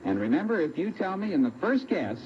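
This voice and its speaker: A man's voice: sits high, very animated, brisk, lo-fi sound, background noise. An American man hosting an old-time radio audience show, addressing guests formally and prompting them with questions on a dated broadcast recording. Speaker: game show host.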